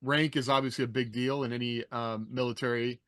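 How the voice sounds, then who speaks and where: A man's voice: medium pitch, flat delivery, a little fast, fairly bright tone, dry recording, studio quality. A middle-aged man hosting a podcast in a casual panel style. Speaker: podcast host. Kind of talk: casual panel talk with rehearsed introductions.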